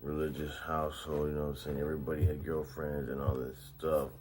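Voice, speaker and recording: Low-voiced mumbling man in his thirties. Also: level tone, fast, darkish tone, slight background noise. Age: 30s. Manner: mumbling, speaks through barely open teeth. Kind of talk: casual video selfie monologue.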